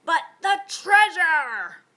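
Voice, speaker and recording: A woman's voice: very high voice, sing-song, very slow, pushed and bright, pitched above the performer's own voice, exaggerated voice. A woman voicing a hearty, bossy male pirate captain, bellowing orders in storybook pirate talk.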